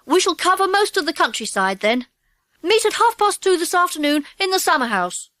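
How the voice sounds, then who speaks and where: Schoolboy gang leader for a children's story, played by a woman: high, animated, earnest and decisive. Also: brisk, no echo, exaggerated voice. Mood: bossy. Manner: eager, bossy, earnest. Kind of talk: children's audiobook character acting.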